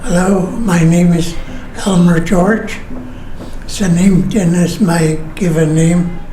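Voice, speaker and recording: An older man, a community elder from British Columbia, speaking in deliberate, halting phrases in a documentary interview. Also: high voice, fairly even intonation, warm tone. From Songhees, British Columbia, Canada. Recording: echoey room with faint noise.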